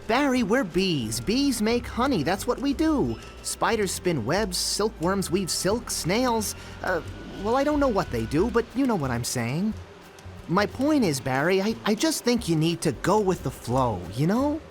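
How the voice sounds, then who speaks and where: An actor playing an eager, nervous young bee best friend in a cartoon game, earnest and prone to stammering. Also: male voice, high-pitched, big pitch swings, unhurried, soft background music. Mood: exasperated, earnest. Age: young adult.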